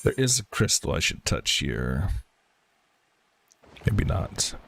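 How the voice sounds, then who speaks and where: A man's voice: sits low, very animated, slow, gravelly, fairly bright tone. A man doing casual commentary while playing a video game, reading quest text aloud as he goes. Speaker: game streamer.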